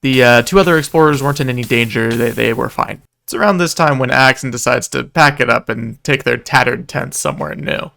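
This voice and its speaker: A man's voice: medium pitch, a little fast, creaky, hardly any pauses, bright, forceful tone. A man narrating a scripted recap in a casual, wry way that slips into dramatic storytelling. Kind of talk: scripted narration, video recap of a roleplay event.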